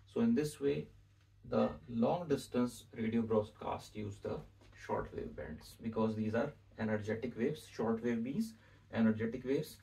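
A middle-aged Indian-accented man gives a whiteboard lesson in a deliberate, explanatory way. His voice is mellow and gravelly, picked up from some distance in a room. He repeats key phrases for emphasis. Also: medium pitch. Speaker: physics teacher. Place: small indoor room with whiteboard.

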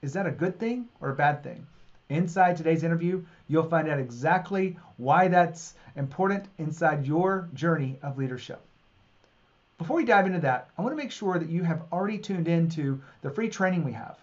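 A man's voice: a little high, expressive, moderate pace, dull audio. A man in his forties hosting a business podcast, talking in an upbeat, direct way from a home office on a studio mic. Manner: upbeat, promotional, direct address. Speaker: podcast host. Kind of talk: podcast intro and interview. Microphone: studio mic on boom arm.

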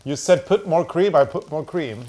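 A teasing man in his sixties with a somewhat high voice, reading recipe steps aloud. He is recorded in a home kitchen by a camera a few steps away, with faint clicks behind him. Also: animated, moderate pace, mellow, a little hiss or hum. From Norway. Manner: teasing, reads instructions aloud, laughs. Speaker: co-host. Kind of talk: casual baking chat. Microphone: unseen mic, medium-distance camera.

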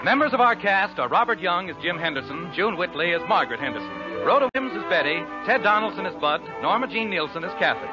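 Male American 1940s radio announcer, animated scripted delivery, music behind. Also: sits high. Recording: dull vintage radio recording. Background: prominent music.

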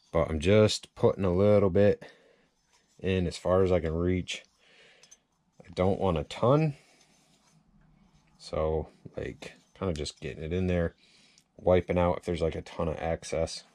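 A man's voice: low-pitched, steady tone, unhurried, long pauses, warm tone, dry recording. A man giving a casual hands-on repair tutorial, thinking aloud informally as he works at a workbench. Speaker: chainsaw repair demonstrator. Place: cluttered indoor workshop at a workbench.

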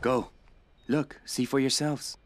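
Actor as a brisk, polite survivor guard. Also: male voice, mid-range voice, fairly even intonation, natural voice. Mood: impressed, brisk. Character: brisk, friendly male survivor guard greeting newcomers. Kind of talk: video game voice acting.

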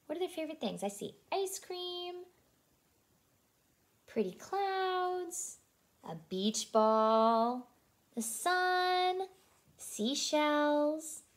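Animated high-voiced woman reading a picture book. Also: drawn-out words, long pauses. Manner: expressive, asks listeners questions. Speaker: childcare teacher reading aloud to children.

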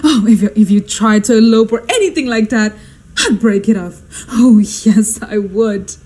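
A woman's voice: fairly high pitch, normal speed, dark tone, natural voice. A woman playing a histrionic society hostess and mother in a radio drama, speaking in a flustered, theatrical, exclamatory way. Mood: exasperated, indignant. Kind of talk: stagey radio drama acting.

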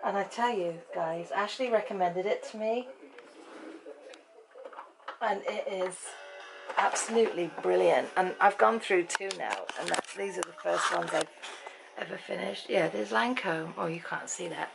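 A woman in her fifties from Britain with an English accent, chatting to a handheld camera in a dim room at night. Her voice is croaky, and she rambles and laughs often. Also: on the low side, measured pace, background noise. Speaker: vlogger. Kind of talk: casual chat to camera. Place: bedroom at night, dim lamp light. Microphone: handheld camera at arm's length.

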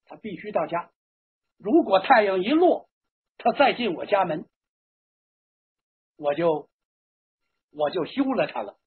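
A storyteller acting a stern, husky young husband. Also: mid-range voice, narrow, tinny audio, heavily acted.

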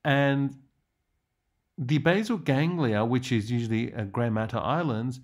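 A man's voice: mid-range voice, measured pace. A man lecturing on radiology in a didactic way, stressing key terms as he narrates prepared slides.

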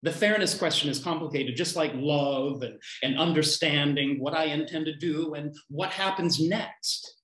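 A man in his fifties reads poetry in a fairly high voice for a man. He delivers short lines deliberately, with pauses between them. The sound is a roomy, echoey video call from a room at home. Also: fairly bright tone. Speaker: poet reading own poems. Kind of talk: live poetry reading. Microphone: computer mic on a video call.

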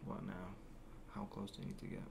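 Low, creaky soft-spoken young man. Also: monotone, background noise. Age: 20s. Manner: murmured, unhurried, halting, drifting into whispers. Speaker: ASMR roleplayer playing a robot repair mechanic. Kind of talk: soft-spoken ASMR roleplay.

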